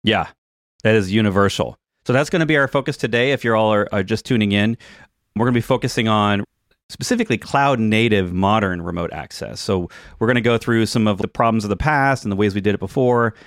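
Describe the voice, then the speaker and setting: A man's voice: lowish voice, fairly quick, dry recording, clean studio sound. A man hosting a tech podcast, explaining things in a casual, conversational way. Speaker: podcast host.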